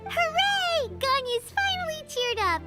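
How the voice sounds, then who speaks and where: A woman voicing a tiny, childlike fairy companion in a video game, bubbly, squeaky and excitable. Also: unhurried, heavily acted. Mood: gleeful. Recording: music loud behind the voice.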